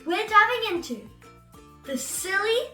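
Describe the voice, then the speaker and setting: A woman reading a children's storybook aloud as host, in a theatrical, exclamatory way with drawn-out emphasis. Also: very high-pitched, sing-song, pushed and bright, far from the mic. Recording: roomy echo, off-mic, music bed.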